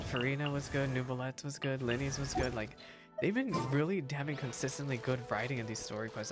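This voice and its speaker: Casual male gamer over clear background music. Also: medium pitch. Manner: reacts out loud, repeats himself for emphasis. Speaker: gaming reaction streamer. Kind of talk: casual playthrough commentary, sometimes reading game text aloud.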